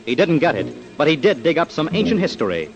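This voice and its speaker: A man's voice: on the high side, big pitch swings, fairly quick. A man narrating an old-time radio crime documentary in a dramatic, punchy, emphatic scripted style. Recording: old radio with loud music.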